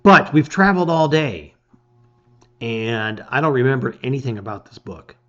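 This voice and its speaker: A man reading a gamebook aloud in expressive, emphatic storytelling, with casual asides. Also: mid-range voice, normal speed, dull audio.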